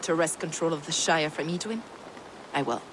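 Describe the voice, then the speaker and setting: An actress playing a learned, devout mystic woman in a video game, cryptic and a little condescending, with measured, ornate speech. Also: deep voice, a bit bright, slight background noise, poor audio. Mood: wry, composed. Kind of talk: video game cutscene acting.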